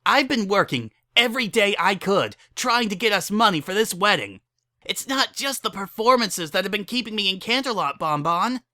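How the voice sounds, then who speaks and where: A woman's voice: medium pitch, big pitch swings, normal speed, pushed and bright, dry recording, studio quality, voice pushed up. A woman voicing an angry, heartbroken young female pony in a dramatic story reading, impassioned and pleading.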